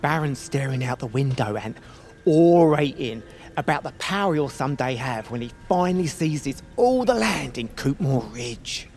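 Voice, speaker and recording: An actress playing a villain's eager, flattering henchman in an audio comedy, talking in a chummy, informal way. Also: a bass voice, moderate pace. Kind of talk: audio fiction comedy acting.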